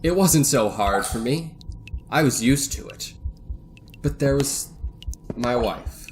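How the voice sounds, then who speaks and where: An actor playing a bitter, vengeful financier in a radio thriller, cold and deliberate with surges of excitement. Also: male voice, medium pitch, slight background noise. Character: bitter vengeful self-made financier holding his captives. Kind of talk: old-time radio thriller acting.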